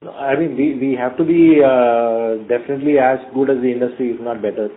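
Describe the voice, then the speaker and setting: A middle-aged man, a company executive answering analysts' questions on an earnings call in a measured, hesitant way with many fillers. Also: mid-range voice, fairly even intonation. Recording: phone line, faint noise.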